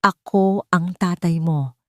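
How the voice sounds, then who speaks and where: A woman storyteller voicing a tender, emotional husband and expectant father in a soft, earnest way. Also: lowish voice, takes it slowly, dry recording, studio quality.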